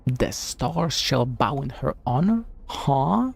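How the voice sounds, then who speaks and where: A man's voice: medium pitch, sing-song, slow, vocal fry, husky. A man streaming a game and giving casual live reactions, slangy and sweary as he thinks aloud.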